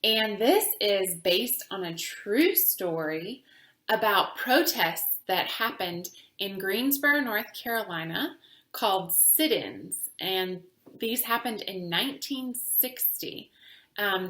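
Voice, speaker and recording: A woman reading a children's book aloud in a deliberate, teacherly way, pausing to speak to young listeners. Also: medium pitch, a bit bright, reverberant. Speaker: reader of children's books for a virtual summer camp. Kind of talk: read-aloud storytelling with asides to children.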